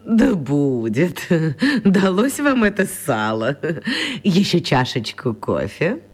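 A woman's voice: sits low, big pitch swings, takes it slowly, a little mellow, slightly rough, soft background music, full character voice. An actress playing a chatty, eccentric elderly woman pensioner with a genteel, coquettish manner in a children's radio play. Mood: breezy.

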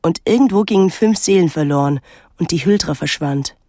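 A young actress playing a pensive, detached teenage daughter, narrating a literary radio drama in a measured, introspective way. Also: female voice, lowish voice, warm tone, dry recording, studio quality. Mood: pensive, haunted.

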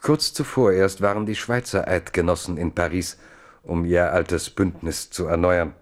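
A man narrating a historical radio play in a measured, literary storytelling manner. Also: low-pitched, fairly even intonation.

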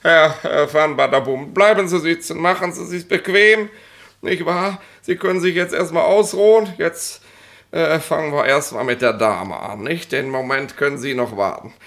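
A man's voice: fairly high pitch, normal speed, creaky, a bit bright. A man playing an officious, pedantic police inspector in a radio play, blustering and easily flustered in fussy officialese. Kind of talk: 1960s German radio play acting.

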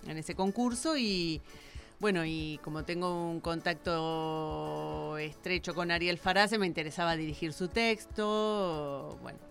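A low-voiced Argentine woman talks in a casual radio interview. She speaks as a theatre director, building long explanations and often pausing to find the next phrase. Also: gravelly, fairly bright tone, soft background music.

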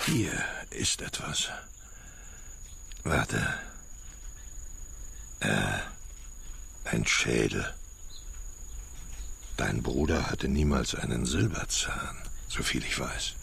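Very gravelly, deep, grave companion. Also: male voice, expressive, very slow, long pauses, fairly bright tone, a little hiss or hum, exaggerated voice. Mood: grave, hesitant. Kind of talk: radio drama dialogue.

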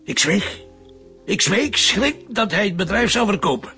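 A man's voice: high voice, animated, a little slow, bright, forceful tone, soft background music, full character voice. An actor playing an old, guilt-ridden and fiercely protective grandfather who has killed, in a radio drama. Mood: ashamed, bitter. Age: older.

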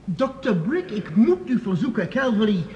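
A man's voice: high voice, big pitch swings, moderate pace, darkish tone, slight background noise. A man acting in a radio drama as a haughty, indignant professor debating a rival with formal, cutting theatrical speech. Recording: dull reverberant radio drama recording.

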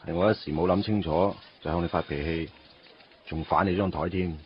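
A man's voice: low-pitched, steady tone, brisk, slight background noise, lightly acted. A man playing a young man torn over his first love, narrating his thoughts wryly in a radio drama. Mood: resolute. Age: young adult. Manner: wry inner monologue. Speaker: lead character and narrator. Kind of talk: Cantonese radio drama acting. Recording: dull lo-fi radio recording.

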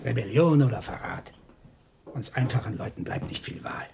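An actor playing a worldly, guilt-ridden monk steward who speaks in an earnest, confessional way in a radio play. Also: male voice, mid-range voice, expressive, moderate pace, gravelly, darkish tone, slight background noise. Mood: bitter, resigned. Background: music.

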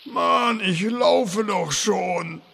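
A sullen, sarcastic old-school cartoonish turtle for a comedy radio play. The voice is pitched high, slow and full of gravelly creak, grumbling along with weary indignation. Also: male voice, a bit bright, exaggerated voice. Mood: exasperated. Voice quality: gravelly, raspy. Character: grumpy, sarcastic, very slow male turtle mount.

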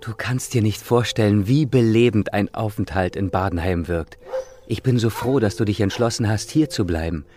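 Over clearly audible music, an actor plays a coaxing man in a radio drama. His voice sits very low, and he speaks softly and earnestly, set on persuading. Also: moderate pace, close to an everyday voice. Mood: reassuring. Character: coaxing divorced man urging a woman to stay. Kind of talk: German radio play acting.

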